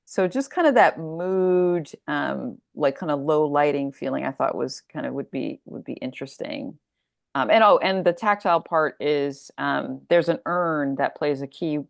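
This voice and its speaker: Low-voiced, creaky American woman, thinking aloud. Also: animated, moderate pace, no echo, clean studio sound. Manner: thoughtful, discursive, thinking aloud. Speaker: guest author discussing her story collection. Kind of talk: casual interview talk.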